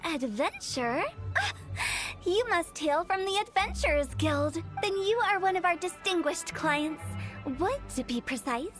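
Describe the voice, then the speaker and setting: A woman's voice: very high voice, very animated, unhurried, a bit bright, full character voice. A woman voicing a quirky, playful teenage girl funeral director in a video game, glib and theatrical with a morbid cheer. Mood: teasing. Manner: theatrical, glib sales patter. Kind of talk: animated video game voice acting. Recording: game music behind the voice.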